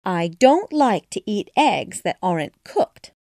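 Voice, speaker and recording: A woman voicing a truthful, flustered little girl in a storybook reading, high and indignant. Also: very animated, takes it slowly, a little mellow, no echo, studio quality, exaggerated voice. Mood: hasty.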